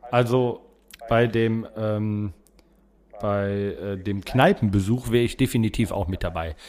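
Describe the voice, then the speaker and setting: A man hosting a podcast, chatting casually with friends in a halting, rambling way. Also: fairly low pitch, darkish tone. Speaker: podcast host. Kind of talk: casual chat among friends.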